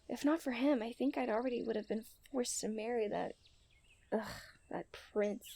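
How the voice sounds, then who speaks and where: A woman playing a confident, romantic young princess in a soft, intimate ASMR roleplay. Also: on the high side, gravelly, no room sound. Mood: earnest, defiant. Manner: soft, intimate delivery that often drops into whispers.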